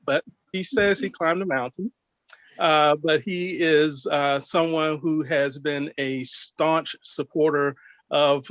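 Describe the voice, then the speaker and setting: A man with a slightly high voice hosts and introduces speakers informally. His delivery is halting and measured with dry humor. The video call audio is dull and very dry, with no room sound. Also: a bit bright, no echo. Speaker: event host introducing speakers.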